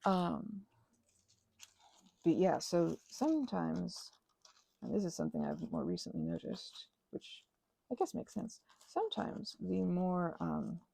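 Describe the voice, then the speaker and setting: A woman host chatting casually in a meandering, self-deprecating ASMR craft ramble, with a creaky voice, often trailing off mid-thought. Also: fairly low pitch, wildly swooping pitch, slow pace, warm tone, dry recording.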